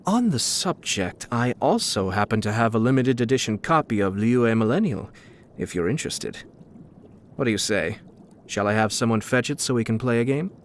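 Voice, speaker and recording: A man voicing a suave, scheming young nobleman and clan head from a video game, with polished, formal, measured speech and a slyly amused, teasing politeness. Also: mid-range voice, animated, slight background noise, lightly acted. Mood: genial, coy. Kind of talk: video game character voice lines. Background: music.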